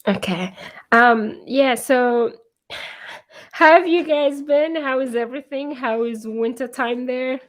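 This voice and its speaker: A woman with a hoarse voice from being sick, hosting a casual live-streamed interview over a video call. She giggles often and chats loosely with many fillers. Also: high-pitched, slow. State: hoarse from illness. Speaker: interview host. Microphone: computer mic on a video call.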